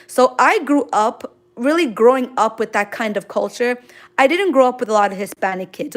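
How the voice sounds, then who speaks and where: A woman from Queens, chatting casually and effusively on a livestream as she answers viewer comments, full of exclamations. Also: on the high side. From Queens, New York City, United States. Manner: gushing, effusive, sprinkled with exclamations. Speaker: manga artist hosting a drawing livestream.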